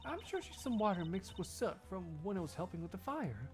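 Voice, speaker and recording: A woman's voice: mid-range voice, sing-song, fast talker, a little mellow, close to an everyday voice. A woman playing a cool, defiant agent with a dismissive, controlled manner in a game fandub. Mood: guarded. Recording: music behind the voice.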